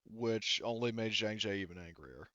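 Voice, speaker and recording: A man voicing a grave, reluctant female military brigadier in a tabletop game, speaking quietly and haltingly. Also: fairly low pitch, no room sound, highs cut off. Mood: grave, bitter.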